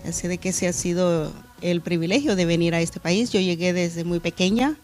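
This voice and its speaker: A middle-aged woman pastor from Honduras, reflecting earnestly on her faith in a studio interview, pausing with fillers. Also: lowish voice, fairly even intonation, a little mellow, faint music behind. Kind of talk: testimony interview. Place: church media studio.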